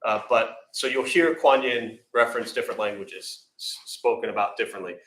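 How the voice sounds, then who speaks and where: A man's voice: fairly low pitch, brisk, a bit bright. An American man giving a casual meditation talk, self-deprecating and rambling with fillers. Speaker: meditation teacher. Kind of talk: informal dharma talk with questions. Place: temple meditation hall. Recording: echoey room.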